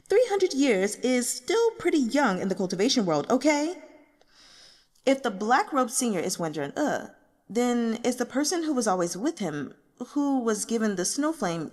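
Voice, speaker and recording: An excitable online novel fan, as a woman reader puts it on, slangy and indignant. The voice is pushed higher and swings freely, full of exclamations and argumentative energy in a storybook reading. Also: long reverb tail. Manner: slangy, exclamatory, fast-reacting.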